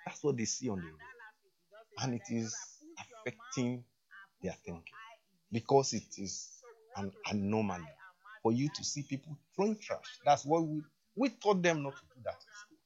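A man, a deputy head of school, answering interview questions slowly with many fillers. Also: medium pitch, lively intonation, a little mellow. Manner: slow, halting, with many fillers. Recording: dull lo-fi sound.

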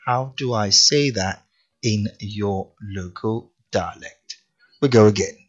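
A Nigerian man hosting a morning radio show, talking in a lively, teasing, emphatic way. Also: lowish voice, slow, slightly rough, muffled recording. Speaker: radio host.

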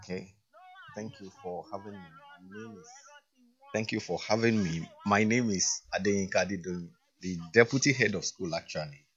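A man, a deputy head of school, answering interview questions slowly with many fillers. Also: lowish voice, a bit bright, breathy edge. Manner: slow, halting, with many fillers. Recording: dull lo-fi sound.

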